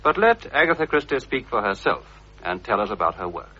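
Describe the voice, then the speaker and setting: A man's voice: mid-range voice, big pitch swings, a little fast, pushed and bright, breathy edge. A man with a received-pronunciation British accent reading scripted documentary narration in a formal, polished manner. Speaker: radio presenter. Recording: dull, lo-fi old radio recording.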